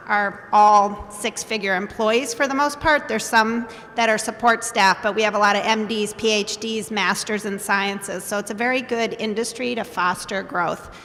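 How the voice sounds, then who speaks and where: A woman's voice: mid-range voice, pushed and bright. A woman, a biotech founder and CEO, introducing her company in a confident, businesslike way on a panel. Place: conference hall. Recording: long hall reverb.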